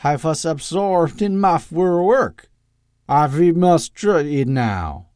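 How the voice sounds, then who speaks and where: Odd little fake German doctor; high, drawn-out, awkward. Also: male voice, lively intonation, darkish tone, clean tone, no echo, clean studio sound. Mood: stiff, defensive. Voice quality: thick put-on accent, imprecise. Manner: stiff, awkward, defensive. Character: eccentric small man faking a thick German doctor accent.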